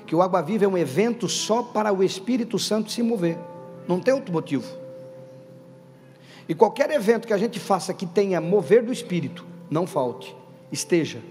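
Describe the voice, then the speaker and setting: High-voiced preaching man in a hall. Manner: emphatic, calls out to the congregation; builds to loud stressed bursts. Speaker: pastor. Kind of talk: Pentecostal sermon. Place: church hall. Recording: reverberant hall with faint background music.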